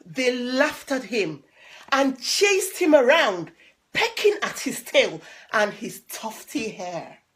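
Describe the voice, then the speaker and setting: A woman reading a children's picture book aloud in an expressive, dramatic way, with little asides to the listeners. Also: a little high. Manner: dramatic, animated, with drawn-out repeated words.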